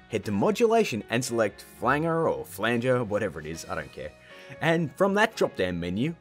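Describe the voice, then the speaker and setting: A man in his thirties presents a casual tutorial with music behind him. His voice moves up and down a lot. He is chatty and playful, with an informal way of guiding listeners through each step. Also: medium pitch. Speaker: tutorial host. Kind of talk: casual scripted video tutorial.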